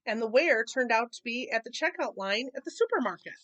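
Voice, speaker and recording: A woman reading a picture book aloud to children, expressive, with casual explanatory asides. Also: a little high, brisk. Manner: expressive, explaining to young listeners.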